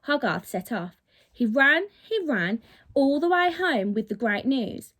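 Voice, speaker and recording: A woman with a fairly high-pitched voice reads a children's storybook. Her delivery is expressive and emphatic, with the dramatic shaping of a teacher telling a story to young pupils. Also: measured pace.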